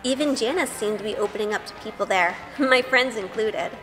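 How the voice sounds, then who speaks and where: A woman playing an earnest, sweet young witch heroine who narrates an audio drama in a gentle, measured way. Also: a little high, long reverb tail, music in the background, lightly acted, low-quality recording. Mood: reflective.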